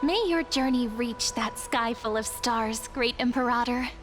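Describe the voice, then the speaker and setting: A woman playing a gentle, deferential attendant with a measured, formal manner in a dramatic video game. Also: a little high, natural voice. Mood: poised, courteous. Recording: music behind the voice.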